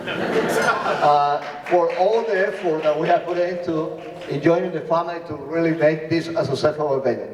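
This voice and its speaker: A middle-aged man hosting a wedding party and thanking everyone, speaking haltingly as he searches for names. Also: fairly high pitch, heavy background noise. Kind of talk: thank-you speech. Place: large ballroom. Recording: echoey ballroom from far off.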